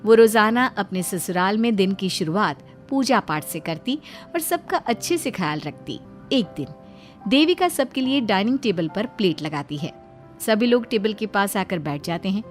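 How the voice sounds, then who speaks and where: A woman's voice: mid-range voice, animated, normal speed, soft background music. A woman reading a scripted moral story as its narrator.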